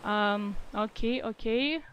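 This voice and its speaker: A woman's voice: on the high side, slow, a bit bright, slight background noise. A young woman with a Russian-influenced accent answering reader questions in a casual livestream, hesitant and full of fillers. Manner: hesitant, many fillers and restarts, reads comments aloud. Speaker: fanfiction writer answering readers.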